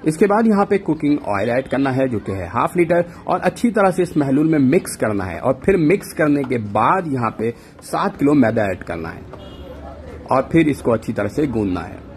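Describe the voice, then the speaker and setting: Male cooking host, instructional voice-over, lively intonation, slight background hiss. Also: medium pitch, moderate pace. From Pakistan. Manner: instructional, step-by-step, mixes English words. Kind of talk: voice-over recipe narration.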